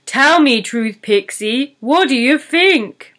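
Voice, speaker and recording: A brutish giant troll from a children's storybook, acted out by a woman. He boasts and bullies in gruff, broken rustic grammar. The voice is forceful and bright, greedy in every phrase. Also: sits high, lively intonation, slow pace, voice pushed up, full character voice. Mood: boastful.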